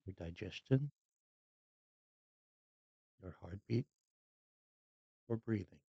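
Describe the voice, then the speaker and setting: A meditation guide speaking calmly and slowly in short, unhurried phrases with long pauses, leading a scripted relaxation. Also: low voice, creaky, slightly rough, no echo, lo-fi sound.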